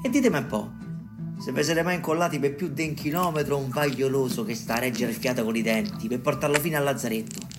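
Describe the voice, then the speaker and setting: A man's voice: medium pitch, quick pace, natural voice. Man playing an earthy Roman storyteller, music behind. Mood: insistent, earthy. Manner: colloquial, digressive, emphatic, addressing listeners directly. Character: earthy garrulous male health-office caretaker, smallpox survivor and storyteller. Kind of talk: theatrical dialect monologue for a radio play.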